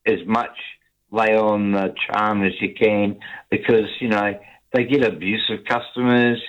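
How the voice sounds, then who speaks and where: A man's voice: lowish voice, level tone, a little slow. An older man calling a radio show, earnest and eager to please, repeating himself. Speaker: caller. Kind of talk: casual phone-in chat. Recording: dull phone line.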